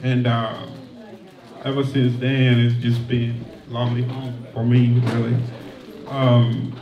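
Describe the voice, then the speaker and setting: A man from Somalia who grew up in Kenya, a singer introducing himself on stage in a relaxed way, with many fillers and easy laughs. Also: mid-range voice, takes it slowly, muffled recording, noisy background. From Somalia, raised in Kenya. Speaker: singer and guest performer. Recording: echoey room from far off.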